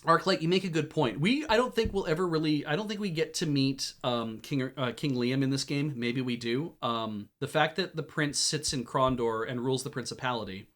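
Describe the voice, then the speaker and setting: A man's voice: mid-range voice, moderate pace, hardly any pauses, a bit bright. A man in his forties chatting casually as he streams a game, rambling and self-deprecating with laughs. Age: 40s.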